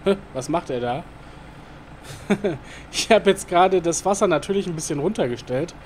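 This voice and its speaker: A man talks his way through a game in a loose, rambling let's-play style. His fairly high voice is full of fillers and asides muttered to himself. A faint noise sits under the recording. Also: lively intonation, moderate pace, darkish tone. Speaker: gaming YouTuber. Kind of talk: casual let's-play commentary.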